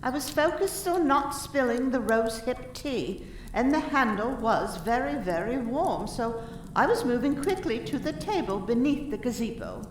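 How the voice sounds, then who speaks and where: A woman's voice: fairly high pitch, expressive, moderate pace, natural voice. A woman playing a prim, careful lady witness in a radio mystery, recalling details precisely and earnestly with some hesitation. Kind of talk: radio play acting. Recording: echoey, distant, noisy room.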